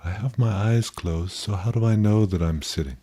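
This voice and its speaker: A man leading a guided meditation in a gentle, contemplative way, with pauses and softly posed questions. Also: fairly low pitch, breathy edge.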